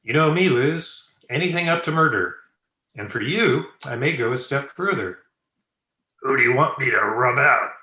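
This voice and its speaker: A man's voice: medium pitch, expressive, moderate pace, sharp tone. A man playing a suave, flippant bachelor playboy in an old-time radio comedy, breezy and self-satisfied. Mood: breezy, boastful. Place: indoor room, remote video call. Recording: roomy echo on home video-call recording.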